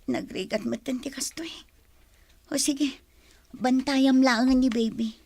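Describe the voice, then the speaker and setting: A woman's voice: sits high, slow pace, darkish tone, no echo, exaggerated voice. A woman with a Filipino accent playing a remorseful, struggling young daughter and mother in a radio drama. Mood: worried, subdued. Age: young adult. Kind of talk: Ilocano radio drama acting.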